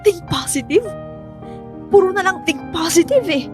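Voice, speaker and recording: An actress playing a wistful, easily discouraged young wife in a Filipino radio drama, emotive and plaintive with a Filipino accent. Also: female voice, extremely high pitch, lively intonation, moderate pace. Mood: discouraged, frustrated. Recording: music bed under the voice.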